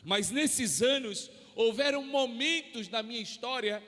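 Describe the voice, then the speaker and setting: A man in his forties preaches with a very high voice and a Brazilian Portuguese accent. He stretches his emphatic phrases out with passion. His voice rings through a church hall with a long tail of reverb. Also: measured pace, sharp tone. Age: 40s. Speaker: pastor. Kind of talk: sermon.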